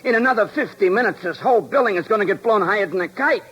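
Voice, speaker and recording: An actor playing a flustered, slow-witted police sergeant, blustering and plaintive with a husky voice, in 1950s radio drama. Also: male voice, high-pitched, fairly bright tone, low-quality recording.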